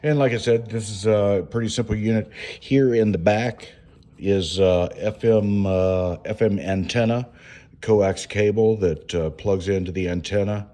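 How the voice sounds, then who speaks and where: Slow, low-voiced man explaining hands-on. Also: steady tone, warm tone. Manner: halting, hesitant, pausing often mid-sentence. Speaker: home DIY reviewer. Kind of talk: casual unscripted hands-on walkthrough. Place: indoors at home.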